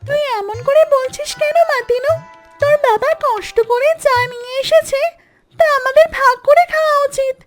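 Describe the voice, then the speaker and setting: A protective cartoon mother duck, pitched extremely high. She speaks in a fretful, admonishing way, worried for her young. Music runs clearly behind the voice. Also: moderate pace. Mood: scolding.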